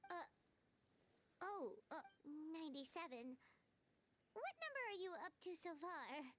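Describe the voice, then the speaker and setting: A woman's voice: very high voice, sing-song, unhurried, fairly bright tone, heavily acted. A woman voicing a small, childlike female fairy companion in a video game, perky and blunt. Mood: perky, curious.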